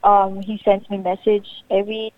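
A woman with a Filipino accent talks freely in a relaxed interview, filling pauses with "um" and laughing easily. Her voice comes through a narrow, tinny phone line. The sound is dry, with no room around it. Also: medium pitch, no echo. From Philippines. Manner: chatty, laughs often, many fillers. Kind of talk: casual interview.

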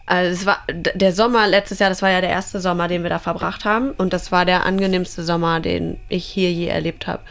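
A woman's voice: lowish voice, fairly even intonation, brisk, vocal fry, few pauses. Chatty German woman in her thirties. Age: 30s. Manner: chatty storytelling. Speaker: interviewee, homeowner. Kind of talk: casual interview answers.

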